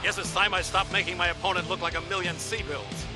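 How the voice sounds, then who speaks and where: A man's voice: sits high, fairly even intonation, fairly quick, over loud music, lightly acted. A male actor playing a brash, goofy, self-promoting arena commentator in a video game, with bombastic, cocky showman's patter. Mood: flustered bravado. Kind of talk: showy sports broadcast patter.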